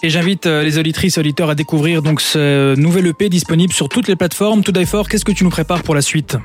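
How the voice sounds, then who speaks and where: A man hosting a radio interview, brisk and eager as he leads the conversation. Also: fairly high pitch, steady tone, soft background music. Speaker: radio host.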